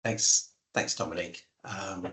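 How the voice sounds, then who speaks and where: A man with a croaky voice from a cold, introducing speakers with many hesitations. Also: low voice, steady tone, a little slow, sharp tone. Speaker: moderator. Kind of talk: webinar moderation.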